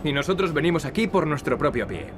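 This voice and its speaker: An actor with a Castilian Spanish accent playing a cocky, wisecracking young adventurer in a video game. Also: male voice, fairly high pitch, big pitch swings, quick pace. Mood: teasing, wry. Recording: game music behind the voice. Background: game music.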